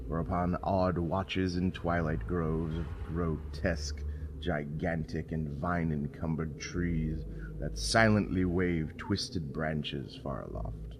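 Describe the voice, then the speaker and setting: A man with a low voice gives a dramatic audiobook reading of gothic horror. He is solemn, measured and brooding. Clearly audible ambient noise sits underneath the voice. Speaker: story narrator. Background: spooky ambience.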